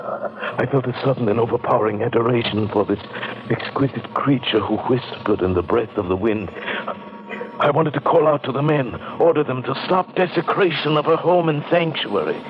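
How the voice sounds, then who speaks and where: An actor playing a haunted, earnest man narrating an old-time radio drama with measured, brooding, halting delivery. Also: mid-range voice, lightly acted. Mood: torn, awed. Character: haunted, earnest male narrator resolved to destroy a pagan grove. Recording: dull, band-limited vintage radio recording.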